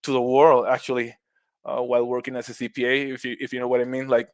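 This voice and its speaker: A middle-aged man with a Puerto Rican accent talks casually as a podcast guest. His pitch stays flat and even, and the recording is close and dry. He keeps a friendly tone, laughs easily and often restarts his words. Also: fast talker, clean tone, no room sound. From Puerto Rico. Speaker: guest, mushroom business owner.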